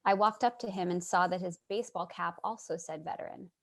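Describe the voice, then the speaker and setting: A woman's voice: on the low side, fairly quick, natural voice. A woman playing an earnest, sympathetic, somewhat naive writer in a staged reading, sincere and a little hesitant. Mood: reflective. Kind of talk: staged reading with first-person narration.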